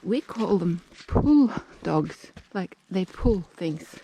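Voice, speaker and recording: Norwegian woman, accented English, slight lisp; unhurried, mellow vlog chatter outdoors with faint noise behind. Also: lowish voice, lively intonation, poor audio. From Norway. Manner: chatty, often drops to a soft whisper. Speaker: nature vlogger. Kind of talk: casual talk while hiking. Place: outdoors in a forest on a steep hill.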